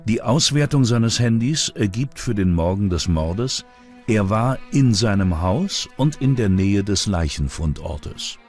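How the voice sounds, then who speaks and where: A man's voice: lowish voice, lively intonation, measured pace, a little mellow, soft background music. A man reads scripted true-crime documentary narration.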